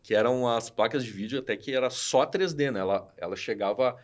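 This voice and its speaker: Animated storyteller from southern Brazil, man in his 40s, slangy, acts out quotes, mid-pitched voice. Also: fairly quick. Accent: southern Brazilian Portuguese. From Rio Grande do Sul, Brazil. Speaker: podcast guest, studio founder. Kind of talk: casual storytelling chat.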